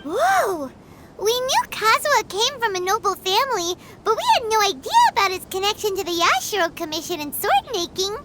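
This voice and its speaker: A woman voicing a tiny, bubbly, curious fairy companion in a video game, with a squeaky, childlike, expressive delivery. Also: normal speed, very noisy. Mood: amazed. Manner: exclamatory, expressive, speaks as "we". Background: loud game music.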